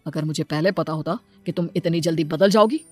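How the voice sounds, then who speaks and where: Woman doing a cartoon dad, earnest, devoted, plain talking, soft music in back. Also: sits low, fairly quick, voice pushed down, close to an everyday voice. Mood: reproachful. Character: earnest, devoted young father in a cartoon tale.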